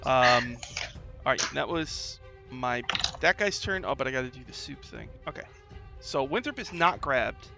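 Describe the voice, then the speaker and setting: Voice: medium pitch, very animated, normal speed, pushed and bright, dull audio, faint music behind. A game master narrating a tabletop fantasy game in a casual, conversational, improvised way.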